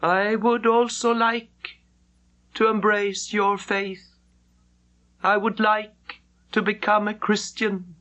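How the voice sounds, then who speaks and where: A woman voicing a dignified male Chinese Mandarin with a formal, solemn, measured manner in an audiobook. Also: mid-range voice, flat delivery, a bit bright, pitched above the performer's own voice.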